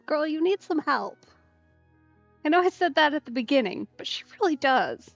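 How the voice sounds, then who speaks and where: A woman's voice: high voice, sing-song, moderate pace, vocal fry, dry recording, muffled recording, soft background music. A woman gaming streamer giving casual, wry let's play commentary, reacting aloud to the story.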